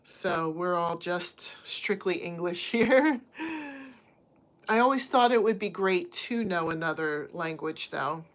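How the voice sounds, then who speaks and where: A woman's voice: lowish voice, slow. A woman from the United States, a crochet livestream host, chatting casually with viewers and reading their comments from her phone at home. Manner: chatty, friendly, talks to chat viewers by name. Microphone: phone on a stand.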